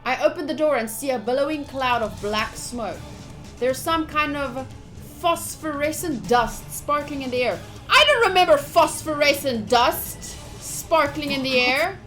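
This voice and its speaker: A woman with a high voice plays through a visual novel on a live stream. She reads the text aloud with some drama, then slips into chatty asides to her viewers. Soft music plays underneath. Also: measured pace. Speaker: game streamer. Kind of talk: live visual novel playthrough, reading aloud and commenting to chat.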